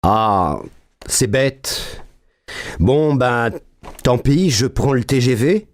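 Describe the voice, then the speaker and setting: A man acting out a scripted dialogue for learners, speaking with slow, clearly spaced lines. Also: medium pitch, animated.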